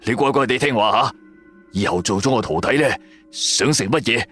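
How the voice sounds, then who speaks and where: A man's voice: medium pitch, animated, normal speed, fairly bright tone, breathy edge, faint music behind. A man playing a sly, threatening tomb robber who poses as a gold prospector, coaxing and commanding, in an audio drama. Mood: menacing, coaxing. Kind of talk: Cantonese audio drama storytelling.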